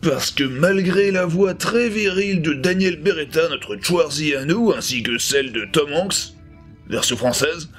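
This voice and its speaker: High-pitched ironic young man reviewing. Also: unhurried, over music. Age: 20s. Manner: ironic, slangy. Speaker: host of a comedy review show. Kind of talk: scripted humorous review narration.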